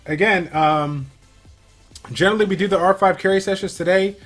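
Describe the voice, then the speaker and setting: A man's voice: high voice, normal speed, fairly bright tone, soft background music. Chatty man hosting a game livestream. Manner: chatty, many fillers, reads viewer questions aloud. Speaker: stream host. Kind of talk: casual livestream chat, reading chat questions.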